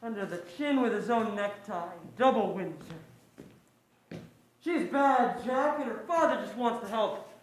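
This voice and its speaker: A woman's voice: mid-range voice, animated, measured pace, close to an everyday voice. A woman playing a brusque, wisecracking detective's colleague in a noir stage play, clipped and wry. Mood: grim, wry. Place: indoor stage play performance. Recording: very echoey stage, distant mic, noise.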